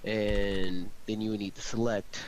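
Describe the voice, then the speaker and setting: A man chatting casually while editing audio, explaining things in a halting, step-by-step way. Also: fairly low pitch, flat delivery, a little mellow, low-quality recording. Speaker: audio drama co-producer helping edit. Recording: hissy home microphone.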